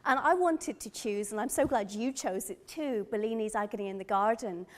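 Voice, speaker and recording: Middle-aged Northern Irish woman, slightly high voice, curator giving a lively personal gallery talk. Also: normal speed. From Northern Ireland. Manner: earnest, enthusiastic, personal. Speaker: museum curator. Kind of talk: gallery lecture. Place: gallery or lecture room.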